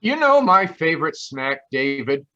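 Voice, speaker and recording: A man delivers a scripted, old-time radio commercial as a pitchman. His voice is bright and bouncy, fairly high, with a slightly dull recording. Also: big pitch swings, measured pace. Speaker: sponsor pitchman. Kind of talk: 1940s-style radio ad banter.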